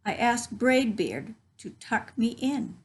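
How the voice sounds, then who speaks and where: A woman reads a picture book aloud in a fairly high voice. Her pace is very slow and expressive, and she speaks to young listeners, chatting about the pictures as a storytime reader would. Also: husky.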